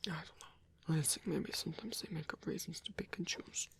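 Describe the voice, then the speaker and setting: Man playing a hushed, breathy old German vampire. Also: on the high side, in a whisper, full character voice. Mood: hesitant, self-deprecating. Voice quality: breathy, husky. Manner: hesitant, halting, formal phrasing, soft-spoken. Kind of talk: ASMR roleplay.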